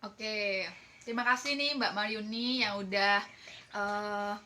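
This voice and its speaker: A woman's voice: medium pitch, fairly even intonation, takes it slowly, sharp tone. An Indonesian-accented woman presenting as a team leader, explaining casually and chattily while often checking in with listeners.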